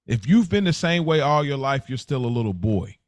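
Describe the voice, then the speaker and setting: An American man with a mid-pitched voice speaks as a podcast host, recorded dry and close. His motivational talk is casual and slangy, with blunt emphasis on each point. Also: sing-song, brisk, dry recording.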